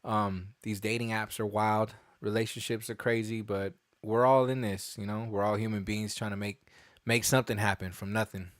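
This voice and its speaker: A man's voice: on the low side, steady tone, normal speed, no echo, studio quality. A young man co-hosting a podcast in a casual, slangy chat that swears freely. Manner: laid-back slangy talk, swears freely. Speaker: podcast co-host.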